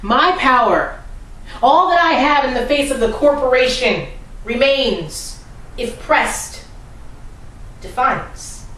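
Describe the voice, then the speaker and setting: A woman performing a dramatic monologue as a defiant, oppressed defendant, speaking deliberately and solemnly with weighted pauses. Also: high voice, lively intonation, fairly bright tone, heavily acted. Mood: solemn defiance. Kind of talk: dramatic courtroom monologue reading. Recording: roomy, distant mic with faint noise.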